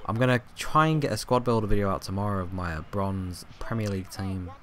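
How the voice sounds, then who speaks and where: A young man with a hoarse, fading voice, chatting casually over his football game footage, rambling with lots of ums and restarts. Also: fairly low pitch, fast talker. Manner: rambling, filler-heavy, self-correcting. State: hoarse, coughing, voice going. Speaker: gaming YouTuber. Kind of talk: casual gameplay commentary.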